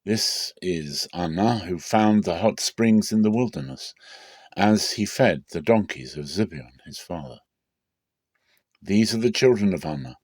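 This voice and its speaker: A man giving a deliberate, dramatic reading of scripture as a narrator, with measured phrasing. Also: low-pitched, darkish tone, husky, dry recording. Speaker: Bible reader.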